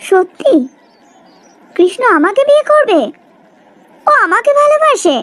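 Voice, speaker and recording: A woman's voice: very high-pitched, very animated, unhurried, a bit bright, no room sound, exaggerated voice. A woman playing an earnest, self-sacrificing rich young woman in a cartoon melodrama, speaking plaintively. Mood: bewildered.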